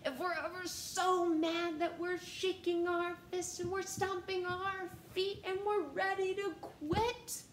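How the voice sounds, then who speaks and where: A woman in her thirties reading a picture book aloud to children in an expressive, gentle way, seated on a couch at home. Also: very high voice, slow, hardly any pauses. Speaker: storytime reader. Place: living room, seated on a couch. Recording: echoey room with faint hiss.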